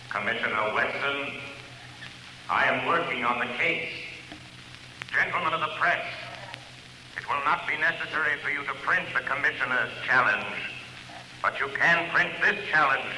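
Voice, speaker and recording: An actor playing a mysterious male vigilante who taunts a killer with slow proclamations, in 1930s radio drama style. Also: a little high, sharp tone. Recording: echoey old radio recording with hiss.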